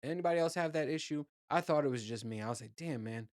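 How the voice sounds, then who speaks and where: A man with a low voice, hosting his own show and telling a loose, profane travel story with lots of fillers and restarts. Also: fast, no echo, clean studio sound. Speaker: podcast host. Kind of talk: casual solo chat.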